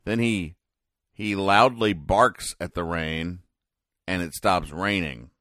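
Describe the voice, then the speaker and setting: A man with a deep voice gives casual commentary as a podcast host. He explains things with deadpan, mock-serious care, pausing and hesitating as he goes. The sound is dry and close, with little room in it. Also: dry recording. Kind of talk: casual comic-strip recap commentary.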